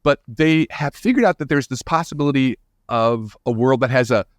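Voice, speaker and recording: A man's voice: mid-range voice, moderate pace, no echo. A man explaining science as an interview guest in an informal, enthusiastic, conversational way.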